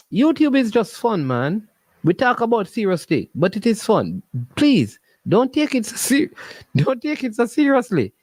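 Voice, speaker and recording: High-voiced Jamaican man. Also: no room sound. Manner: animated, jocular, repeats phrases. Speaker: host of a sports call-in show. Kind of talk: casual live call-in chat in Patois.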